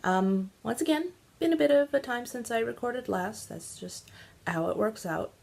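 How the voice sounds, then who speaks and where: A woman's voice: fairly high pitch, animated, moderate pace, creaky, a little mellow. A stuffy, congested woman hosting a casual knitting video podcast, chatty and rambling with many ums. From Central California. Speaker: podcast host.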